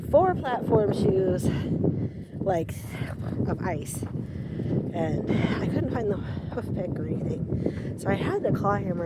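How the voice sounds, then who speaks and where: Rambling woman vlogging outdoors on a farm. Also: medium pitch. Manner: rambling, chatty, self-deprecating, talks to animals. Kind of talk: casual outdoor vlog chat. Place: outdoors on a farm by a frozen pond. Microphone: handheld camera. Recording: loud outdoor noise on camera mic.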